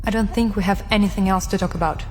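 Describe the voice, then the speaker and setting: A guarded young mother, played by an actress in an audio drama. She is curt and firm at a middle pitch, with reverb and music around her. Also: fairly even intonation, quick pace, a little mellow, natural voice. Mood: cold defiance. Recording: long reverb with music behind.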